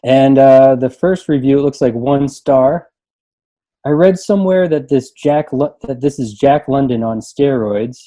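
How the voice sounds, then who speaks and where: A man reading aloud and chatting casually in a group, amused and quick to chuckle. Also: mid-range voice, mellow. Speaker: librarian reading book reviews aloud.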